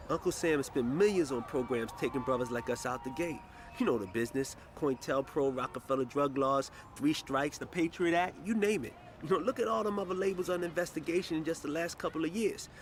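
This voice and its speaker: An actor playing an earnest, worldly hip-hop hustler in a video game, preaching in a streetwise manner. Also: male voice, mid-range voice, slight background noise, close to an everyday voice.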